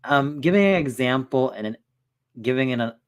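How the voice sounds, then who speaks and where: A man's voice: medium pitch, lively intonation, normal speed, clean tone. A man in his twenties hosting a casual stream chat, rambling with lots of fillers. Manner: rambling, filler-heavy, restarts often. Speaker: streamer host.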